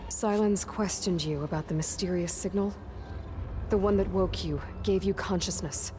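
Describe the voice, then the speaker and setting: A woman's voice: fairly low pitch, normal speed, noisy background, close to an everyday voice. A woman playing a young, determined, wry adventurer heroine in a video game, speaking in a terse, dry manner. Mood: stern, defiant. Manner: terse, dry, muttering to herself. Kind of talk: video game dramatic acting. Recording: game score music behind.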